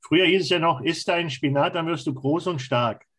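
A man's voice: a little high, brisk, a bit bright, slightly rough. A man chatting casually as a podcast panelist. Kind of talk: casual chat.

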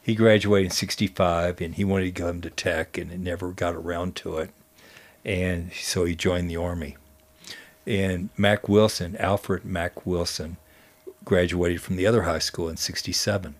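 A man telling stories conversationally as a guest, speaking in a halting way with frequent pauses. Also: low voice, steady tone. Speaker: guest storyteller and history teacher. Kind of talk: conversational storytelling.

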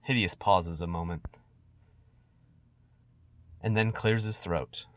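A man's voice: low voice, slow pace. A man giving an amateur dramatic narration of a fantasy story as a podcast host. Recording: dull lo-fi recording in echoey room.

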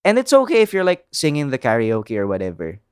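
A man's voice: medium pitch, wildly swooping pitch, fast, darkish tone, smooth, no room sound, studio quality. A man with a Filipino English accent, chatting casually and giving opinions with lots of "like" fillers. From Philippines. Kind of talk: casual chat.

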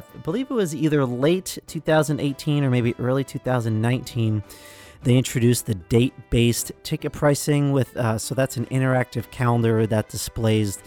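A young man hosting a casual news podcast, hoarse from a cold, rambling with lots of fillers. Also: mid-range voice, a little mellow. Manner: rambling, filler-heavy, apologetic. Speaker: podcast host.

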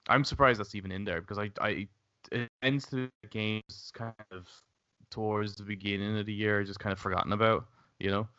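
A man's voice: fairly low pitch, fairly even intonation, a little fast, bright, forceful tone, no room sound, lo-fi sound. A man co-hosting a casual gaming podcast, thinking aloud and hedging his points. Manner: thoughtful, hedging. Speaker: podcast co-host. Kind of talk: casual chat.

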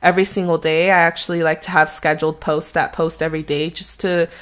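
A woman's voice: low-pitched, little pitch movement, quick pace, clean tone. A young adult woman with a slight Mexican accent, a real estate agent giving rambling, conversational advice on her solo podcast.

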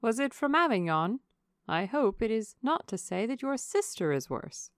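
Woman putting on a nosy, high-swooping older matron. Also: sing-song, normal speed, no echo, clean studio sound. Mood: prying. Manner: exclamatory, prying, swooping emphasis.

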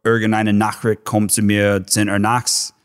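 American man speaking halting German. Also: on the low side, monotone. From Texas, USA. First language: English. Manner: halting German with English words mixed in, many fillers. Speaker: football coach, podcast guest. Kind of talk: casual interview.

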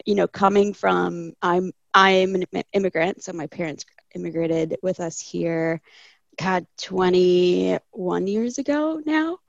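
Young woman from Chile, gravelly chatty guest, dry muffled recording. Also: fairly low pitch, steady tone, slow, dry recording. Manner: chatty, laughs often, quotes people in asides. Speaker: guest, landscape architect. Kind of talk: casual interview conversation.

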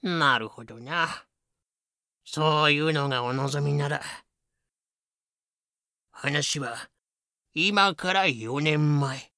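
A man playing a rough, lively old-hand pirate telling tales with slangy, dramatic delivery in a fan-made voice drama. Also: a little high, very slow, long pauses, dry recording, studio quality, full character voice. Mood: grim storytelling. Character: rough lame ex-pirate storyteller, boisterous, lively.

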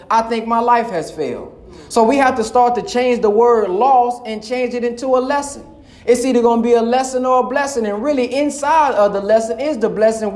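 A man preaching to a live audience in an impassioned, colloquial way. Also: extremely high pitch. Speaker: minister. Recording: echoey hall.

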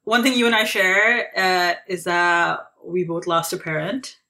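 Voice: medium pitch, steady tone, measured pace, bright, forceful tone. An interviewer speaking earnestly, affirming the guest and framing questions with long, thoughtful lead-ins. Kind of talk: interview conversation.

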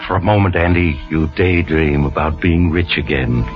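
Deep spooky narrator for old radio thriller, dramatic, big music behind, muffled recording. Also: male voice, moderate pace. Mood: ominous. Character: sinister male storyteller addressing the listener directly.

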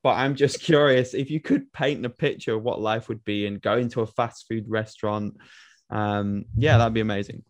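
Low-voiced man, dry close sound. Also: steady tone, normal speed, no echo. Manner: curious, playful, gently teasing. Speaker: interviewer. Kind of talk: casual interview conversation.

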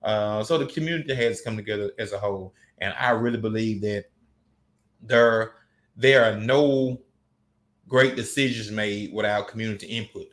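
A man's voice: lowish voice, normal speed. A man with a Southern US accent talking casually and at length, with many restarts and rambling turns. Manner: laid-back, rambling with restarts. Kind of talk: casual podcast chat.

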